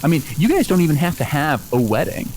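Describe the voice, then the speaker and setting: A man's voice: a little high, big pitch swings, fast talker, darkish tone, a little hiss or hum, rough recording. An actor in an improvised comedy radio play playing an earnest, progressive family father who reassures and lectures, often checking for agreement. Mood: persuasive lecturing. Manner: reassuring but lecturing, seeks agreement with "right?".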